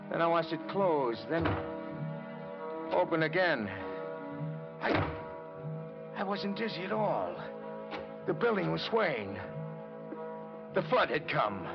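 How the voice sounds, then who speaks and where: A man's voice: a little high, full character voice. An actor playing a desperate man who narrates his own murder plot in 1940s radio drama style, with clipped, grim delivery. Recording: muffled vintage radio recording.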